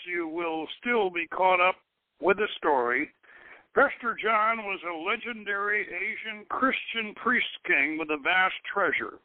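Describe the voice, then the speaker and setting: Male radio host reading his adventure story, notably high voice, measured phrasing, thin telephone-grade audio with no room sound. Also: no echo. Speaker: radio show host and author. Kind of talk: scripted announcement and dramatic reading of a novel. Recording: tinny phone-line radio broadcast.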